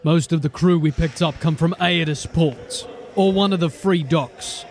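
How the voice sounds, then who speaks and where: Male actor as a blunt, jaded city-watch sergeant, plainspoken, slightly high voice, audio drama. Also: measured pace, faint music behind. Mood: steady, grim. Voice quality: plain-spoken, grim and steady.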